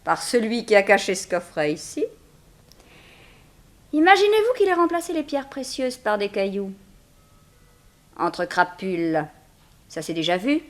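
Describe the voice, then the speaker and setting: A quick-witted, brave young heroine in a vintage radio crime serial. Her pitch moves widely, and her delivery is dramatic and measured, that of a cunning sweetheart in danger. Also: very animated. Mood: coolly cunning. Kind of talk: 1960s French radio crime serial acting.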